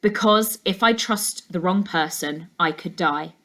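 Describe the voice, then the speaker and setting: A woman's voice: lowish voice, a little slow, fairly bright tone. A woman voicing a shy, desperate schoolboy's written messages in a children's story, solemn and earnest. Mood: solemn, urgent. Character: shy, desperate schoolboy writing a farewell letter.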